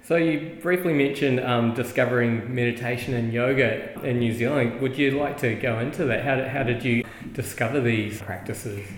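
A man's voice: mid-range voice, rough recording. Polite male podcast host. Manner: measured, polite, many short backchannels. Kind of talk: scripted intro then interviewing.

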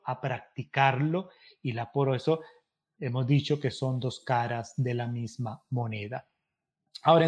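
A male priest talks through church teaching in an earnest, insistent way. He hammers home his points by repeating them. His voice sits a little higher than most men's. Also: a little mellow. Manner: earnest, emphatic, repeats key phrases for stress. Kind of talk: informal teaching monologue.

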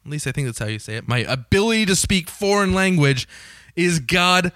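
A young man with a slightly raspy voice, hosting his podcast in a chatty, joking way and swearing casually. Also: high voice, expressive, fairly quick, a bit bright, dry recording. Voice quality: a little raspy. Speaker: podcast host. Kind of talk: casual solo podcast intro.

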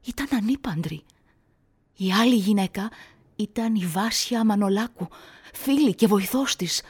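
An actress as a husky female building manager. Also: medium pitch, close to an everyday voice. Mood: hushed, informative. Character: female apartment-building manager giving police a witness statement. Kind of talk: radio drama acting.

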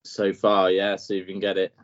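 Brief: male quizmaster, relaxed delivery, lowish voice, muffled lo-fi sound. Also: brisk. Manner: laid-back, reads clues plainly, chuckles often. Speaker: podcast quizmaster. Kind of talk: casual quiz chat. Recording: dull lo-fi podcast audio.

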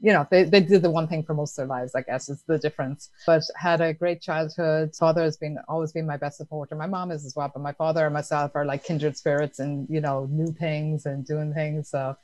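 A woman with an Irish accent, chatting as a guest in an interview, laughing easily and filling pauses with "um" and "like". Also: sits low, steady tone, a little mellow, no room sound. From Ireland. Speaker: guest entrepreneur. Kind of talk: casual interview answers.

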